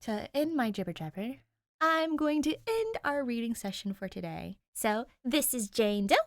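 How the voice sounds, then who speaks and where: A woman's voice: fairly high pitch, very animated, normal speed, no room sound, clean studio sound. A young adult woman reading fiction aloud and chatting informally as host of her reading channel. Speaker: podfic reader and channel host. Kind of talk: story narration and chatty sign-off.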